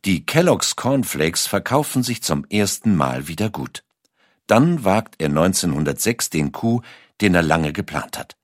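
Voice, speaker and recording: A man's voice: low voice, big pitch swings, unhurried, dry recording, clean studio sound. A man reading scripted documentary narration as a narrator.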